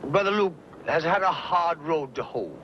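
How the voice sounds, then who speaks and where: An actor playing an earnest, pious frontier preacher with a Southern US accent and a rustic, sermonizing way of talking, in an old Western. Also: male voice, fairly high pitch, animated, moderate pace, slight background noise, natural voice. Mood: solemn, pious. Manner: folksy, sermonizing, rustic speech. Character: folksy frontier preacher, earnest, pious, talkative soul-saver. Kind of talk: Western film acting. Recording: muffled old film soundtrack with music.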